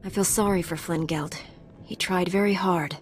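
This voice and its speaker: An actress playing a composed, principled young female apprentice in a video game, calm and direct. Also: lowish voice, moderate pace, soft background music, lightly acted. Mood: firm, sympathetic. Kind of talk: video game dialogue acting.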